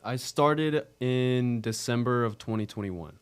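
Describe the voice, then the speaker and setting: A nineteen-year-old man from Arizona with an American accent, chatting casually as an interview guest in an earnest, halting way. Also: mid-range voice, clear, resonant tone. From Arizona, United States. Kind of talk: casual chat.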